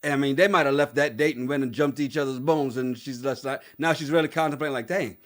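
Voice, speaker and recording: A man's voice: medium pitch, fairly even intonation, quick pace, fairly bright tone. A man co-hosting a casual podcast, laughing often and acting out quotes in his reality-show recap chat. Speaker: podcast co-host.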